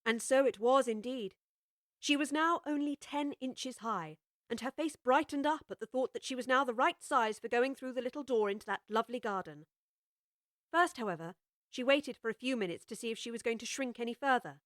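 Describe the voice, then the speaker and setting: A woman reading scripted storybook narration in a measured, expressive way. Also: a little high, dry recording, clean studio sound. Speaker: audiobook narrator.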